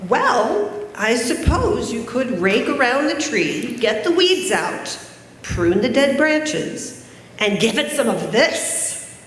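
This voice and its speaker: A woman's voice: fairly high pitch, sing-song, takes it slowly, fairly bright tone, full character voice. A woman playing a wry, eccentric, reclusive witch in a readers theater stage play. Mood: helpful, offhand. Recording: echoey hall, faint noise.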